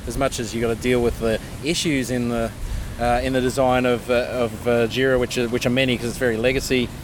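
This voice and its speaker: Fast-talking man outdoors. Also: mid-range voice, level tone. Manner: quick, chatty, with many fillers and restarts. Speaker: podcast host. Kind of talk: casual interview chat. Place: outdoors near a river and traffic. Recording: outdoor recording with clear street noise.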